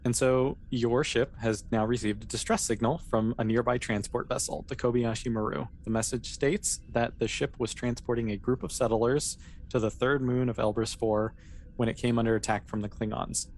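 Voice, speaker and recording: An easygoing game master narrates a science-fiction tabletop game in a middling pitch. The phrasing is casual and a little hesitant, as if made up on the spot. There is noticeable noise behind the voice. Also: steady tone, moderate pace, a little mellow.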